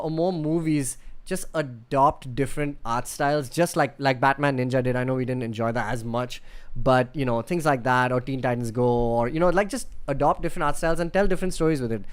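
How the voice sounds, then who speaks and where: A man's voice: mid-range voice, normal speed, smooth. A man co-hosting a podcast in casual chat, talking in a rambling, enthusiastic way with many restarts. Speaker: podcast co-host.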